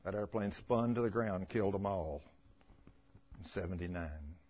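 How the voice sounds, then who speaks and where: A man's voice: sits low, normal speed, breathy edge, dull audio. A man preaching in a folksy, emphatic way, reading scripture and putting plain questions to the congregation.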